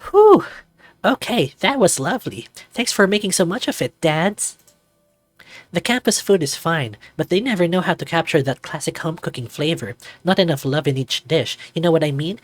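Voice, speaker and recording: Man putting on a higher-pitched, hearty young monster daughter. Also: expressive, normal speed, dry recording. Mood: satisfied. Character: hearty, hungry college-age monster daughter with fiery jaw.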